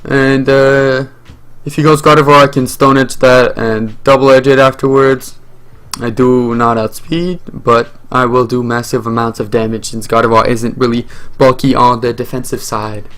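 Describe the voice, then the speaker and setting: A young man whose mother tongue is French talks through a game as he plays, thinking out loud. His commentary rambles, full of fillers and restarts, at a middling pitch. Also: little pitch movement, moderate pace, clean tone. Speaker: gaming channel host.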